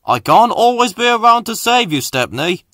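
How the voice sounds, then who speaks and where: A man's voice: high-pitched, big pitch swings, normal speed, fairly bright tone, no echo, studio quality, voice pushed up. A man voicing a stern, authoritative railway controller who admonishes his engines in a storybook.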